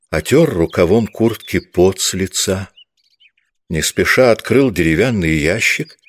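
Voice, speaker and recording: Male narrator for literary fiction audiobook. Low register, dry studio-tight sound, scripted delivery. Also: dry recording.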